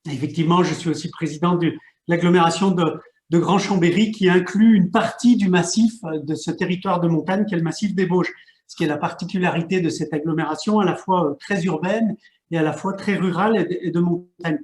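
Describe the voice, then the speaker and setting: A man with a fairly high voice presents to an online panel, stopping often to repeat words as he searches for the next one. His voice rings off the walls of an echoey room. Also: brisk, darkish tone. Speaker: mayor and regional park president.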